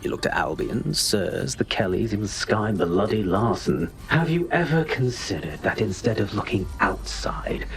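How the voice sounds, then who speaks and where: Game cast: sardonic informant, slightly low, mocking, measured. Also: male voice, animated, slight background noise. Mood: smug, needling. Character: smug, sardonic, riddling male informant. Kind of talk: game cutscene acting.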